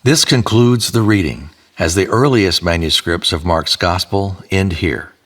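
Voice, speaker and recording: A man delivering a solemn, measured scripted address as narrator. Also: sits low, fairly bright tone.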